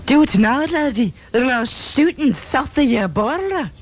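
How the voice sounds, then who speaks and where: A gruff Scottish police chief for a comic radio play. He is bullying and scornful, with a melody that swings widely up and down. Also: extremely high pitch, lively intonation, measured pace, slight background noise. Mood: commanding. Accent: Scottish, put on. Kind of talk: amateur comedy radio drama.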